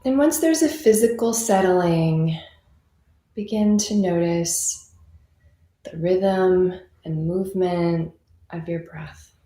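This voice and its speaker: Slow-speaking woman guiding meditation, roomy sound. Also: on the low side, mellow. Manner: unhurried, conversational, reassuring. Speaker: meditation teacher. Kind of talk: guided meditation, gentle unscripted instruction.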